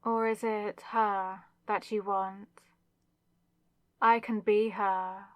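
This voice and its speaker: A woman playing a possessive, lovesick demonic villainess in a dramatic ASMR audio roleplay, speaking with intense, deliberate pauses. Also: mid-range voice, flat delivery, no room sound, close to an everyday voice. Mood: pleading devotion.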